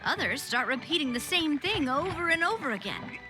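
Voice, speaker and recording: A woman's voice: high-pitched, very animated, moderate pace, music in the background. A woman playing a confident, upbeat, breezy young female leader in a video game. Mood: serious, explaining. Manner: self-assured, breezy.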